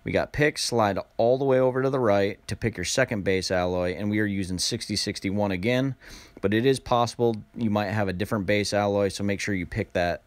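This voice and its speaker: A man's voice: fairly low pitch, fairly quick, dry recording. A man narrating a step-by-step tutorial in a casual, plain-spoken way. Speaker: instructional narrator.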